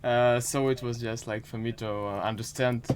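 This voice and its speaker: A man in his twenties with a Ukrainian accent hosting a casual interview in hesitant, halting English. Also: medium pitch, steady tone, slight background noise. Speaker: podcast host, interviewer.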